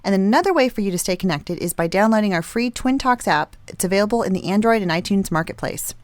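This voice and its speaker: A woman's voice: mid-range voice, expressive, quick pace, dry recording. A woman hosting a podcast, moving between scripted intros and casual chat. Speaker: podcast host.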